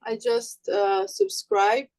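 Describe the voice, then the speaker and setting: A woman from Romania, raised in Italy and by birth, speaks slowly and unhurried on a video call. She is earnest and hesitant as a workshop participant, pausing often and starting her sentences again. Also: on the high side, very animated, gravelly, darkish tone. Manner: earnest, hesitant, many fillers and restarts. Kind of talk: casual chat.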